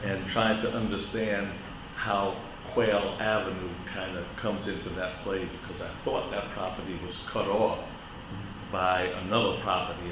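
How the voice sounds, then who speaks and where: A man with a loud voice, representing a church at a public hearing, asking questions hesitantly with many fillers. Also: sits low. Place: public meeting chamber. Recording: distant, echoey chamber with noisy background.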